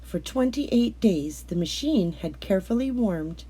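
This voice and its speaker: A woman reads a picture book aloud for children. Her voice is mellow and a little breathy-rough, sitting at a middle pitch. She tells the story in a measured, expressive way, stretching out words for effect. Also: low-quality recording. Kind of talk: scripted read-aloud narration of a picture book.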